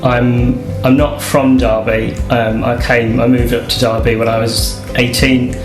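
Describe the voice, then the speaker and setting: A man talking casually in an interview, in a halting way that repeats words. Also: mid-range voice, flat delivery. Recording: echoey room. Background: guitar music.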